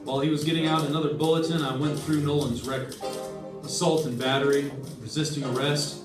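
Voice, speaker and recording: A man's voice: fairly high pitch, flat delivery, a little fast, lightly acted. A man playing a terse, hard-boiled investigator in an old-time radio detective drama. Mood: steady narrating. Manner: terse, pointed. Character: hard-boiled male investigator narrating and questioning suspects. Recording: echoey room, distant mic, music.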